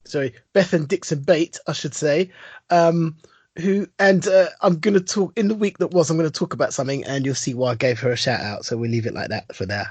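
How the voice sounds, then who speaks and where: A man's voice: fairly high pitch, brisk, darkish tone, husky, no room sound. A man co-hosting a podcast in casual chat, enthusiastic and rambling, with some teasing. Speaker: podcast co-host.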